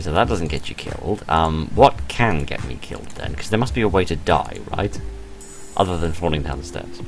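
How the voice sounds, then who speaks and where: Deep, animated British man narrating a game. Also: gravelly. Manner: expressive, deadpan sarcastic asides. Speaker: let's play host. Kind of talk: reading a visual novel aloud with wry asides. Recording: music behind the voice. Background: game music.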